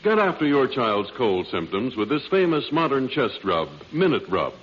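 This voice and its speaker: A man's voice: medium pitch, big pitch swings, normal speed, breathy edge. A man with an American accent reading scripted 1940s radio announcements and adverts in a polished, emphatic sales manner. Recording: muffled vintage radio recording.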